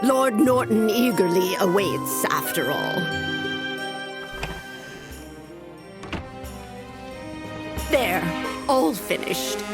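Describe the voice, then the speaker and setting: A woman voicing a shrewd, composed older madam with a theatrical, wry, measured delivery in a video game. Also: medium pitch. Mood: matter-of-fact. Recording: loud music behind the voice.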